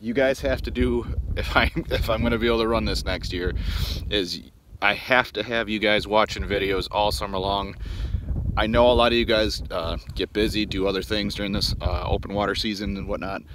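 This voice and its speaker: Rambling low-voiced man outdoors on snow. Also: fairly even intonation, brisk, noisy background. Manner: rambling, many ums and restarts, chatty to viewers. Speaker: outdoors channel host. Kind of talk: casual vlog walkaround. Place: outdoors on snowy frozen lake. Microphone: phone at arm's length.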